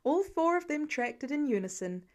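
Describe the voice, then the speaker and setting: Woman reading a fiction audiobook, voice on the higher side, scripted story narration. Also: sing-song, normal speed.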